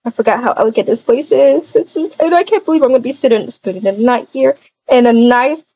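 Woman as a sweet young woman in casual roleplay; high, unhurried, gushing delivery, dull sound. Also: dark tone, breathy edge, no room sound. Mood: delighted. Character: sweet, excitable young woman, Jenne, on a trip. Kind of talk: casual improvised roleplay acting. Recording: dull, lo-fi home microphone.

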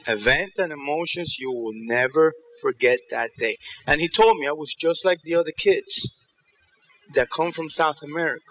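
Bright-voiced man giving a motivational talk. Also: on the high side, moderate pace, low-quality recording. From New York and Ecuador. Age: middle-aged. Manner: animated storytelling, checking in with the audience. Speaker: keynote speaker. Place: theater stage in a large auditorium.

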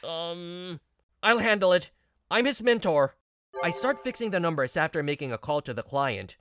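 A woman's voice: fairly low pitch, moderate pace, slight background noise, close to an everyday voice. A woman voicing an earnest, stressed young male office worker, narrating and acting in a manga video. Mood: stressed, dutiful. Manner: earnest, expressive. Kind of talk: first-person narration and dialogue in manga video.

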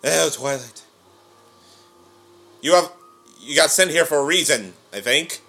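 A young man casually reacting to a show as host of a reaction video, excitable and full of exclamations. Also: on the high side, measured pace, vocal fry, slightly rough, soft background music. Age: young adult. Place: living room, seated on a couch.

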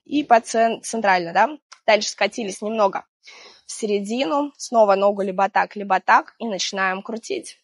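A woman in her thirties, hoarse from a cold, guiding an exercise routine outdoors by the sea in a chatty, gentle way with small laughs. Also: mid-range voice, moderate pace, no room sound. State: hoarse from illness. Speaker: fitness instructor. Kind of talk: guided exercise instruction. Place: outdoors on a seaside promenade.

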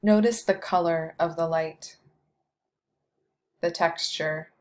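A woman leading a guided meditation, speaking slowly and softly with long pauses between phrases. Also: deep voice, steady tone. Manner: slow, soft, soothing delivery with long pauses.

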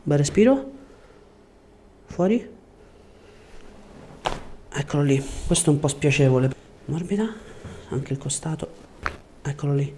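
A man in his thirties speaking as a chiropractor, giving short, gentle, reassuring instructions to a patient in a calm clinical way. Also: on the high side, measured pace, pauses often. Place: indoor chiropractic office. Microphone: lapel mic. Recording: faint background room noise.

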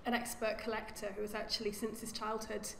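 A woman archaeologist giving an academic lecture at a lectern, speaking in a measured way and often repeating words as she corrects herself. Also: medium pitch, monotone, vocal fry. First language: English. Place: lecture room. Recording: echoey lecture hall with faint hum.

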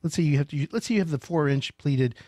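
A middle-aged man hosting a radio call-in interview in a small studio, speaking close to a large mic with animated, emphatic storytelling. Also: fairly high pitch, quick pace, dry recording. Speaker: radio show host.

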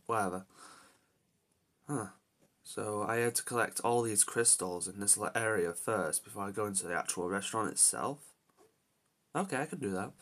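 A man's voice: lowish voice, moderate pace, pauses often, a bit bright, natural voice. A man voicing an anxious, protective young adventurer in amateur character lines, talking to himself with dramatic exclamations. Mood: wary, musing. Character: anxious, reluctant young adult male adventurer hero, protective.

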